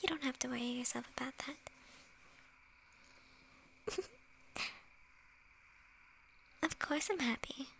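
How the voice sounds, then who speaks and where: A woman voicing a sly, flirtatious witch in an ASMR fantasy roleplay, teasing in a soft, breathy whisper. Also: high voice, slight background noise. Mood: secretive, sly. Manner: slow, teasing, with soft chuckles.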